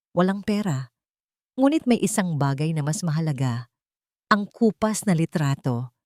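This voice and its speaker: Low-voiced woman narrating romantic drama. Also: a little mellow, no echo. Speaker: story narrator.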